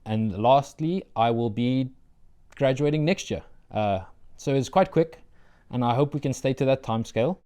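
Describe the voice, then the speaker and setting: A young man from South Africa with a South African accent, Afrikaans his first language, answering interview questions about his doctoral studies in an earnest, measured way with frequent fillers. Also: mid-range voice, lively intonation, warm tone, clear, resonant tone. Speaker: PhD candidate, interviewee.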